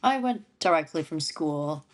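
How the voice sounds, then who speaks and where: Woman guest from Syria and Lebanon, low-ish voice, laughs easily, relaxed video-call chat. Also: unhurried. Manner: chatty, laughs often while talking. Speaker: guest, veterinarian and stand-up comic. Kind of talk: casual interview chat.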